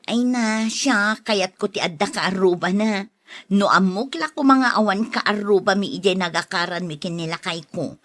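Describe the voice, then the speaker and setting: A woman's voice: medium pitch, normal speed, fairly bright tone, natural voice. A woman playing a sociable neighbor in an Ilocano audio drama, chatty and good-humored, with an Ilocano accent. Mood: gentle persuading.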